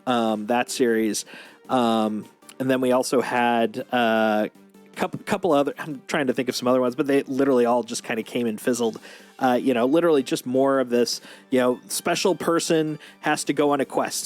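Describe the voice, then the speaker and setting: A man hosting a livestream, thinking aloud in a casual, opinionated, rambling discussion. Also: medium pitch. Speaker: livestream host.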